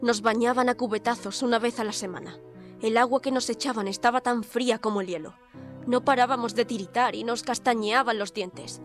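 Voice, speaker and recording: Audio drama role: indignant young woman reporter played by an actress, highish voice, dramatic and articulate, music behind. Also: steady tone, a little fast, lightly acted. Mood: grave, recounting. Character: defiant young woman reporter posing as an asylum inmate. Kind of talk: audio drama narration and dialogue. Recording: music under the voice.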